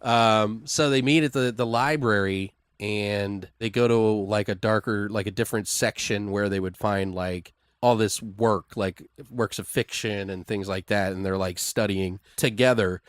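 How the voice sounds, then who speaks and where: A man hosting a podcast, casually making up a story aloud in an excitable, loosely profane way. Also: lowish voice, a little slow, few pauses, clean tone, no room sound. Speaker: podcast host. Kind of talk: casual improvised story brainstorming.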